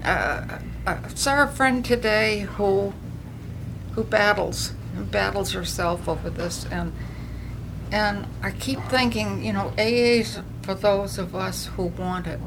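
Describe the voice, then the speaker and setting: A woman's voice: medium pitch, slow pace, noisy background. An older woman with a New England accent gives earnest personal testimony at a recovery meeting, telling her story with frequent restarts.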